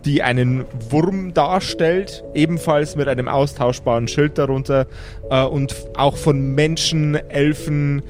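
A man's voice: on the high side, steady tone, unhurried, darkish tone, soft background music. A man narrating an improvised tabletop game as game master, searching for words and correcting himself as he describes things.

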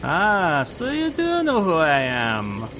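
A man playing a pompous, sly gentleman airship captain with florid, declamatory speech, as an old-time radio villain. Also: on the high side, huge pitch swings, takes it slowly, noisy background, full character voice. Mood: suave.

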